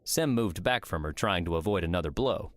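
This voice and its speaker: A man's voice: low voice, lively intonation, fairly quick, dry recording. A man reading scripted story narration as an audiobook narrator.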